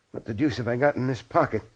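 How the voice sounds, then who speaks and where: A man's voice: medium pitch, husky. An actor playing a driven, earnest male surgeon in 1940s radio drama, with a commanding manner. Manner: urgent, commanding. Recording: muffled, noisy vintage radio recording.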